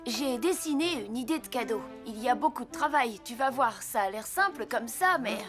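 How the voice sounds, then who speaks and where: A woman's voice: a little high, animated, normal speed, close to an everyday voice. A woman voicing a kind, eager little boy, an apprentice Santa in a French cartoon, with an earnest, youthful delivery. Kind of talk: French animated series dubbing. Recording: music behind the voice.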